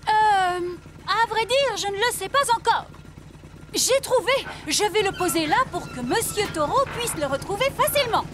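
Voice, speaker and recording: Brisk, eager rabbit aviatrix for a kids' cartoon; very high, animated. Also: female voice. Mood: thoughtful. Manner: eager, can-do. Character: brisk, cheerful lady rabbit helicopter pilot. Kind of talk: French children's cartoon dub.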